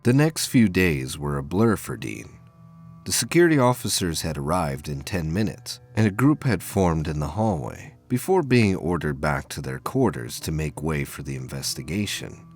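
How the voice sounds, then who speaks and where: A man with a low voice narrates a science fiction audio drama. His delivery is formal and measured, with lively movement in pitch, and faint music plays behind him. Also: husky.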